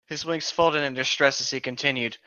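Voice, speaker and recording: A man with a bright, sharp voice reads aloud casually as a co-host. He is close and dry, though the recording is a little dull and lo-fi. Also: mid-range voice, fairly even intonation, moderate pace, smooth, no echo. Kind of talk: casual comedic read-aloud.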